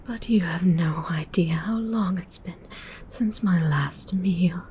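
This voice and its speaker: A woman voicing a predatory, hungry female vampire in a breathy whisper, a seductive and politely menacing ASMR roleplay monologue. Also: fairly low pitch, a little mellow, a little hiss or hum. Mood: hungry, coaxing. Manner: slow, drawn-out, teasing menace. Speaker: ASMR voice performer.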